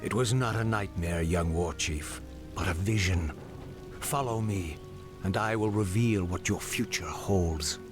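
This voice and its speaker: Casting: grave, cryptic prophet for a fantasy game, very low voice, music and rain behind. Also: male voice, a little slow. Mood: solemn. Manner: solemn, cryptic. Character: mysterious male prophet, grave and portentous. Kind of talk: fantasy video game acting.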